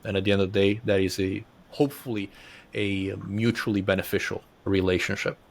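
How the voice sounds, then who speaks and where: A man's voice: on the low side, fairly even intonation, brisk, vocal fry. A man hosting a podcast, affirming his guest and asking long, polished framing questions. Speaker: podcast host. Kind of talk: interview host.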